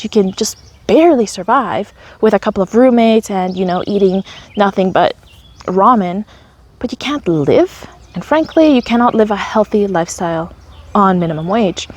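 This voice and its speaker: A woman's voice: medium pitch, a little mellow. A woman, telling her own story in a candid, wry, conversational way. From Canada. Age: 30s. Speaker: vlogger. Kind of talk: personal storytelling.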